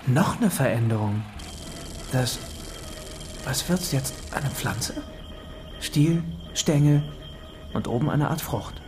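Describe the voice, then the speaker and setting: An actor in a German radio drama playing a naive non-human pupil, hesitant, questioning and full of wonder. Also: male voice, medium pitch, expressive, darkish tone, faint music behind. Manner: halting, questioning.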